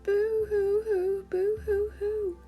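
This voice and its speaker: A woman's voice: extremely high pitch, little pitch movement, warm tone, faint music behind, voice pushed up. A woman voicing a whiny small boy crying and wailing in a storybook reading. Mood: tearful wailing. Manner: wailing, drawn-out.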